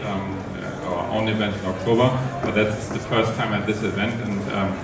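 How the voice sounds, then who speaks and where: A man from Germany in his forties, speaking on a conference panel into a handheld mic with many pauses and ums. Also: on the low side, flat delivery, distant mic, a crowd in the background. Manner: halting, many ums and restarts. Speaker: company co-founder, panel speaker. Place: conference stage in a large hall. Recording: echoey hall, mic heard from afar, noisy.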